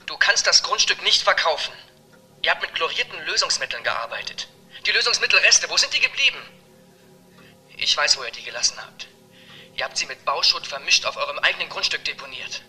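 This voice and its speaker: A man's voice: fairly high pitch, lively intonation, a little fast. Agitated, piercing young man on tape. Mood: urgent, accusing. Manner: urgent, accusing. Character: agitated young nephew threatening to expose a crime. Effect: answering-machine playback. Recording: sharp answering-machine tape, faint music.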